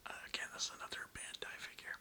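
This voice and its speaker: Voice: racing, sharp tone. A person whispering a casual, slangy, nostalgic ASMR ramble in a hesitant, meandering way, close to the microphone. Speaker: ASMR video creator.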